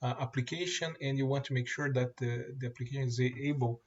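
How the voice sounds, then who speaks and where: Male presenter, native Portuguese speaker, demoing software, mid pitch, halting delivery, muffled recording. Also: steady tone. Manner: halting, many fillers and restarts. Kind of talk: screen-share product demo.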